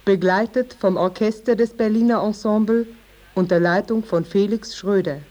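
A woman's voice: mid-range voice, fairly even intonation, moderate pace, warm tone. A woman radio announcer reading scripted credits in a formal manner.

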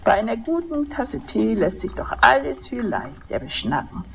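A woman's voice: fairly high pitch, sing-song, moderate pace, creaky. A woman playing a kindly, rambling old widow in a parody audio drama. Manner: polite, rambling, grandmotherly. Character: chatty, kindly old widowed lady hosting tea.

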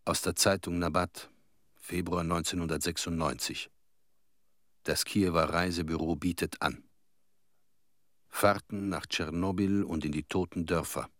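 An actor playing a sober newsreader figure in a radio play, reading out a newspaper notice in a measured, factual way. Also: male voice, deep voice, steady tone, fairly bright tone, no echo, clean studio sound. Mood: sober, detached.

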